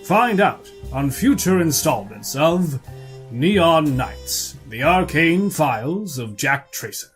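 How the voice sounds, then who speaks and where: A man's voice: on the high side, big pitch swings, slow pace, faint music behind. A man announcing in the dramatic, scripted style of an old radio serial.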